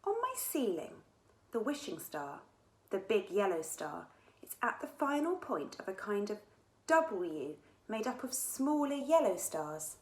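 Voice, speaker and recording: A woman's voice: medium pitch, sing-song, unhurried. A woman voicing an anxious, imaginative young girl in a children's space adventure storybook. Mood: thoughtful. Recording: echoey room sound.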